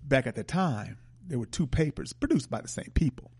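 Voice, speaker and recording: A man hosting a talk show, giving closing remarks in a halting way and repeating words as he starts over. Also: medium pitch, lively intonation, darkish tone, slightly rough. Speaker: radio show host.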